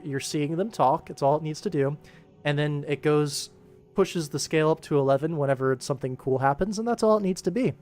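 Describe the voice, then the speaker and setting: A man with a slightly high voice talks casually on a livestream as he works. He explains things informally, thinking aloud like a director, with music playing behind him. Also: darkish tone. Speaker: livestream host and animation director. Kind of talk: casual livestream commentary while working.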